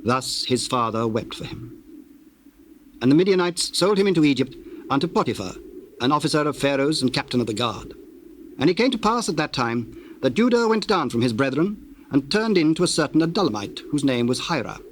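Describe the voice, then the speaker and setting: A man's voice: mid-range voice, very animated, a little fast. A man narrating a dramatized scripture reading in a solemn, storytelling style. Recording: hissy old cassette tape, birdsong behind.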